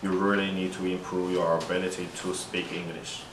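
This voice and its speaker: Deep, monotone man, echoey. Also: moderate pace. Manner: monotone prepared statements. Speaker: international student. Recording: echoey room from far off, noisy.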